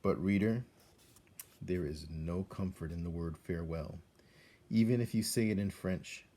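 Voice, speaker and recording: A man narrates a storybook in a low, dark voice with a husky grain. His delivery is measured and dramatic, as if drawing the listener into the tale. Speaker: read-aloud narrator. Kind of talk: expressive scripted storybook narration.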